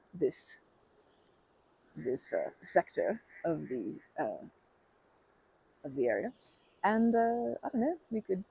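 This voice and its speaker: ASMR role: polite, overly formal female stranger played by a woman, rambling with fillers, gravelly low-bright tone, lively pitch. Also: no room sound, heavily acted, rough recording. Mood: nervously flirty. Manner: rambling, formal phrasing, many fillers and self-corrections. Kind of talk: ASMR roleplay.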